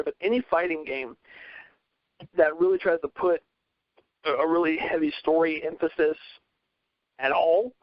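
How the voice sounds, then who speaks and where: Male panel guest, fairly high voice, explanatory, casual, dull dry audio. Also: no room sound. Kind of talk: casual opinionated discussion.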